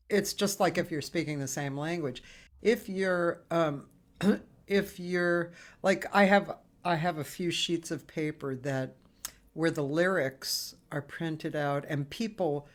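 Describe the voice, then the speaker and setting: A woman's voice: fairly low pitch, takes it slowly. Rambling woman hosting a chat. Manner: rambling, many restarts and fillers. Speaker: host. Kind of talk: casual chat.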